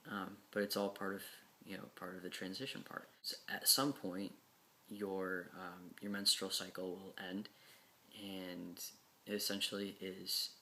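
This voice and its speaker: A young man talking frankly and casually to camera, with many pauses and repeated words. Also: sits low, sharp tone. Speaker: speaker answering class questions.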